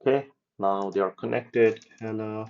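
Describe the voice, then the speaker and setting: A man explaining and demoing his software project in a casual walkthrough, hesitating with ums and restarts as he goes. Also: fairly low pitch, steady tone, measured pace, creaky, lo-fi sound. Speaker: developer giving a project demo. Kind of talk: casual screen-share demo walkthrough.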